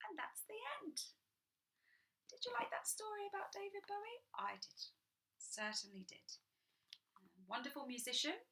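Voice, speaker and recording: A woman's voice: high-pitched, moderate pace, pauses often, pushed and bright. A young woman reading a picture book to children, breaking off for chatty, enthusiastic asides. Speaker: children's storytime reader.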